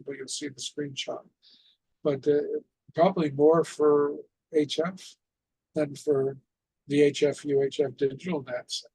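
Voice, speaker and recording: Higher-pitched husky man, flat delivery. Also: dry recording, rough recording. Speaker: amateur radio net control presenter. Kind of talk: informal instructional presentation over a radio net.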